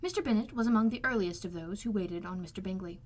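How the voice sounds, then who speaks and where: A woman's voice: medium pitch, fast. A woman reading a classic novel aloud as scripted audiobook narration. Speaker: amateur audiobook reader.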